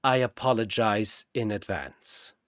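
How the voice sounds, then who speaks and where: A man's voice: on the low side, measured pace, no echo, pitched below the performer's own voice, close to an everyday voice. Male narrator as icy woman medium for fantasy fiction: cold, clipped, stern, dry recording.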